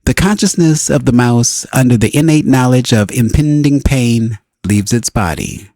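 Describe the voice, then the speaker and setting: A man reading a spiritual book as scripted narration, in measured, emphatic phrases broken by short pauses. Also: medium pitch, a little mellow, no room sound, clean studio sound. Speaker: audiobook reader.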